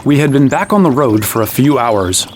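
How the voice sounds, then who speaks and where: A man's voice: mid-range voice, expressive, moderate pace, slight background noise, lightly acted. An actor playing a young man who narrates his own fantasy adventure as scripted first-person storytelling. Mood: reflective.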